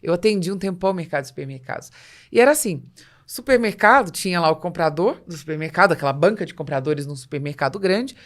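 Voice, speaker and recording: Lower-pitched Brazilian woman hosting a podcast. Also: expressive, fast talker. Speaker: podcast host. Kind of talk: business podcast conversation.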